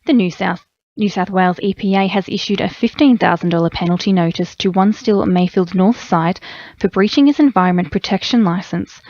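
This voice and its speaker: A woman's voice: mid-range voice, a little fast, a little mellow, no echo. A woman with an Australian accent reading a scripted radio news bulletin. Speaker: radio newsreader. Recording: slightly dull radio audio.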